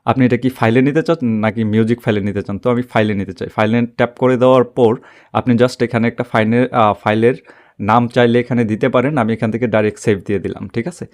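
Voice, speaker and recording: A man giving an unscripted, step-by-step app tutorial in a halting, repetitive way. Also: mid-range voice, fairly even intonation, darkish tone. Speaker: tech tutorial host.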